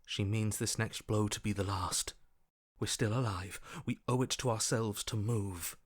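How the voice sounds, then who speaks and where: An actor playing a wary, primal survivor's inner voice in a video game, speaking in terse, watchful phrases. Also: male voice, fairly low pitch, fairly even intonation, unhurried, no echo, studio quality. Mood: tense, urgent. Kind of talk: video game character voice acting.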